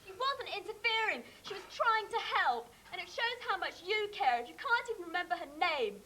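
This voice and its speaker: A young actress playing a bold, hurt daughter in a wheelchair who confronts her father in sharp, reproachful words. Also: extremely high pitch, big pitch swings, brisk, slight background noise, exaggerated voice. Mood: defiant. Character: defiant young girl in a wheelchair.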